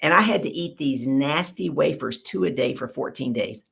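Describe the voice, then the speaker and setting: A woman's voice: rumbling low, lively intonation, moderate pace, vocal fry, slightly rough. A woman hosting an online show, chatty and upbeat while telling stories. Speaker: host. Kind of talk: casual chat and storytelling.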